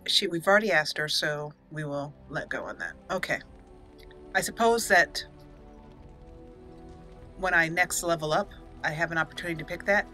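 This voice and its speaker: A woman's voice: low-pitched, normal speed, long pauses, sharp tone. A woman giving casual let's play commentary, chatting and thinking aloud as she plays. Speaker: gaming channel host and player. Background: game music.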